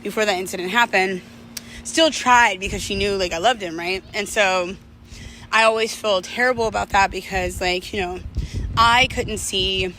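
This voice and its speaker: A woman's voice: medium pitch, fairly even intonation, moderate pace, sharp tone, a little hiss or hum, low-quality recording. A woman talking casually and candidly to her viewers in a reflective, personal monologue, using conversational slang. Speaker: vlogger telling personal stories to viewers.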